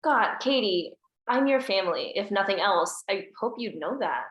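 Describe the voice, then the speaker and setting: A woman's voice: medium pitch, animated, moderate pace, echoey room, dull audio, lightly acted. A woman reading a curt, bitter teen daughter. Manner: curt, cutting. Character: bitter, guarded teenage daughter. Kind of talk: table read.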